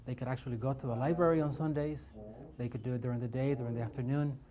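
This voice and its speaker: A man's voice: mid-range voice, fairly even intonation, fast talker, crowd noise. A man, a program developer, explaining his work in an interview with some hesitations.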